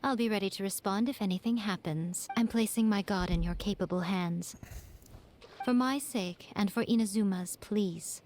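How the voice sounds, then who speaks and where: A woman's voice: medium pitch, normal speed, a little mellow, a little hiss or hum, natural voice. A woman voicing a sly, composed familiar spirit in a video game, wry and condescending, with music behind. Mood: composed, serious. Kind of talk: video game character acting.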